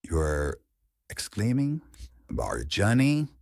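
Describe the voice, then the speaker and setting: A man's voice: fairly low pitch, wildly swooping pitch, measured pace, vocal fry, darkish tone, no room sound, studio quality. A man speaking as a coach, giving an informal, explanatory lesson on public speaking.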